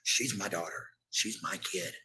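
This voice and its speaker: A woman with a low-pitched voice delivering an angry, confrontational rant, swearing freely and repeating herself as a parent hitting back at critics. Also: a little slow, sharp tone, rough recording. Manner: combative, profane, repetitive. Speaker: parent answering online critics.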